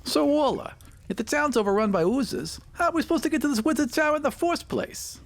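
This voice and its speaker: A man's voice: very high-pitched, very animated, a little fast, pitched above the performer's own voice, exaggerated voice. Man playing Thumper, a brash furry squad member. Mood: challenging. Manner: sarcastic, brash. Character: Thumper, cocky, mouthy, loyal squad member with fur.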